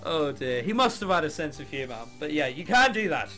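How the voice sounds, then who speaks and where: A man's voice: a little high, sing-song, fairly quick, bright, forceful tone, soft background music. A man hosting a visual novel let's play, reading game text aloud and adding casual, wry, irreverent asides.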